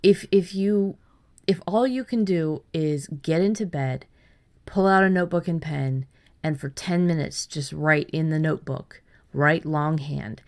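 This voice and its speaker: Low-voiced casual woman podcast host. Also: unhurried, a little mellow. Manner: blunt, swears freely, jokey.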